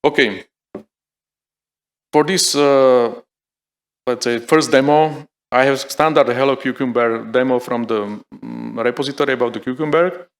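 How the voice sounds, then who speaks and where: A man speaking at a mid pitch, presenting a live software demo at a conference. He talks in a halting, thinking-aloud way, full of fillers and restarts. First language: Slovak. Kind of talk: live technical demo talk. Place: conference hall.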